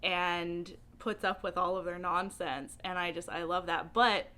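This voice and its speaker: A woman chatting casually and informally as a book vlogger, animated and emphatic, often giggly as she talks. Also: fairly low pitch, unhurried. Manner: giggly, laughs often while talking. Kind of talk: casual chatty video monologue.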